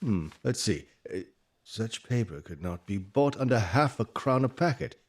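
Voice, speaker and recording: Casting: genial Victorian gentleman physician, fairly low register, courteous and mildly flustered, radio-play delivery. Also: expressive, normal speed. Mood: curious. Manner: polite, affable, hesitant. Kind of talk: audio drama acting and narration.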